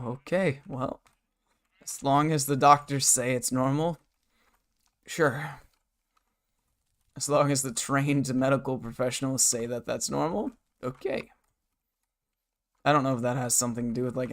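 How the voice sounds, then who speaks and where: A hoarse man live-streaming a game from his bedroom desk, rambling and muttering to himself and his chat. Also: medium pitch, normal speed, long pauses, a bit bright. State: hoarse, scratchy throat.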